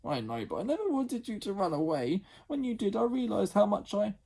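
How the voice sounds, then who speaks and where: A man's voice: sits high, sing-song, a little fast, creaky, husky, voice pushed up, full character voice. Man doing a teary, apologetic older sister. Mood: tearful. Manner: soft, pleading, apologetic.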